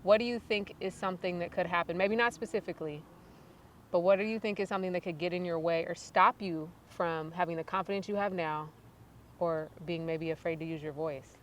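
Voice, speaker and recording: A woman's voice: on the low side, steady tone, a little fast. A woman interviewing a student, asking open questions and affirming and rephrasing her answers. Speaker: interviewer, coach.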